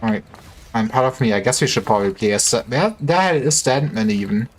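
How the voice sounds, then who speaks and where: A man's voice: mid-range voice, lively intonation, fast. Guy streaming a game, casual wry commentary, kinda talking to himself, music playing behind. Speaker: game livestreamer.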